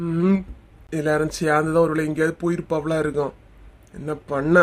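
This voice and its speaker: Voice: medium pitch, flat delivery, moderate pace, close to an everyday voice. An actor with a Kanyakumari Tamil accent playing an easygoing young village husband in a comic cartoon. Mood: exasperated.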